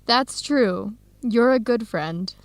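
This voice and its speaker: Lively, bright-hearted young woman researcher. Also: a little high, very slow, dry recording, heavily acted. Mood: friendly, curious. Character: warm, enthusiastic young American woman researcher abroad. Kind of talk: educational radio drama acting.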